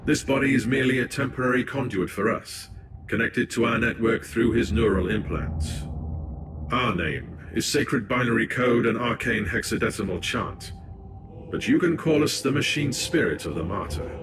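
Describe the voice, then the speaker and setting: An actor voicing a solemn machine spirit that speaks through a priest's body in a video game, formal and measured. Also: male voice, medium pitch, very noisy, lightly acted. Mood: solemn, grave. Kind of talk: scripted video game voice acting.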